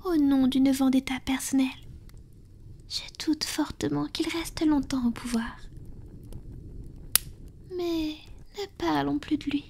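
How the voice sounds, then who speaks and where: Woman playing a whispering, tender vampire lover, high-pitched. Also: fairly even intonation, slight background noise, heavily acted. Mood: calm, confident. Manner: soft, intimate, breathy whispering with slow pauses. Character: tender, affectionate female vampire comforting her lover. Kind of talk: whispered ASMR roleplay.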